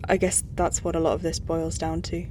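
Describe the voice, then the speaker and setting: A woman's voice: low-pitched, monotone, brisk, a little mellow, slight background noise, lightly acted. A woman playing an earnest, displaced human space traveller in a science-fiction audio drama. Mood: sad, confiding. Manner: earnest, confiding.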